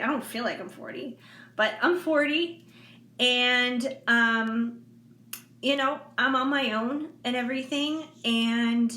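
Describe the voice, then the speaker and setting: A woman of forty talking candidly to her viewers in a casual, rambling way with many fillers and soft laughs. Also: a little high. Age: 40s. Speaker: intuitive reader and vlogger. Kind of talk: casual heartfelt monologue to viewers. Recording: echoey room with faint background noise.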